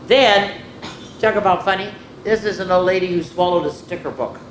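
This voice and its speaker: A woman's voice: on the low side, moderate pace. Chatty woman storyteller in an echoey room. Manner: chatty, rambling asides addressed to viewers. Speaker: children's story time host. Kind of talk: informal picture-book read-aloud and show-and-tell to children. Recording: echoey room with clear background noise.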